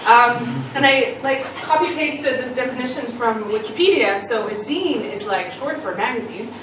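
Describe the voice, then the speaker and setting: A young woman chats through a meetup talk, self-deprecating and quick to laugh, her voice sitting a little high. She is picked up from across a very echoey room, and steady noise sits under the recording. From Ukraine, raised in Canada. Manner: chatty and self-deprecating, with lots of ums and laughs. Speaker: meetup speaker. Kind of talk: casual conference talk. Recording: echoey room, distant mic, noisy.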